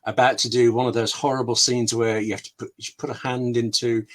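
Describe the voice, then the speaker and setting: A man's voice: mid-range voice, flat delivery, fairly quick, fairly bright tone. A man being interviewed as a scriptwriter on an online call, explaining with examples and anecdotes in a candid way, often interrupting himself. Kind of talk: explanatory webinar chat with examples.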